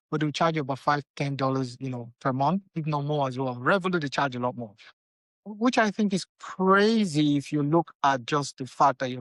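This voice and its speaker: A man's voice: a little high, a bit bright, dry recording. A man from Nigeria, a company founder giving casual money advice and often checking in with his listeners. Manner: conversational, checks in with "okay?" and "right?".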